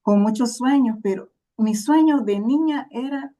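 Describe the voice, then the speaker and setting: A woman's voice: on the high side, measured pace, mellow. A Salvadoran woman from El Salvador, a podcast guest telling her life story in an earnest, reflective way. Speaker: interview guest. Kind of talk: personal storytelling.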